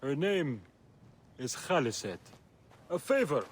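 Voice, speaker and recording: An actor playing a wry, cagey merchant and informant in a video game, with a sardonic, bargaining manner. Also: male voice, fairly high pitch, very animated, slow, slight background noise. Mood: businesslike. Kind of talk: video game cutscene acting.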